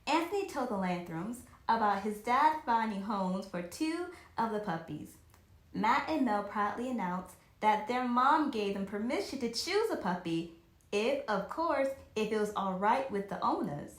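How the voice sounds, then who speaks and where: A woman with a fairly high voice reads a children's story aloud in a roomy, echoing space. Her delivery is very lively and expressive, with an occasional stumble as she goes back over a phrase. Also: a little slow. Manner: animated, with occasional stumbles and rereadings.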